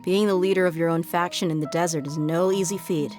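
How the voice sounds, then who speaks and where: A woman acts out a level-headed female desert mercenary for a video game. Her voice sits fairly low and she talks bluntly, without fuss. Music is clearly heard behind her. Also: fairly even intonation, fairly quick, natural voice. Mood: calm, supportive. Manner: blunt, matter-of-fact. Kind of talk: video game dialogue acting. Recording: prominent background music under the voice.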